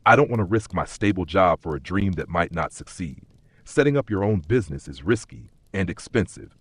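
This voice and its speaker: A man playing a weary, doubtful corporate worker in a dark fantasy audio story, plain-spoken and skeptical. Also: sits low, animated, fairly quick, slightly rough, exaggerated voice. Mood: resigned.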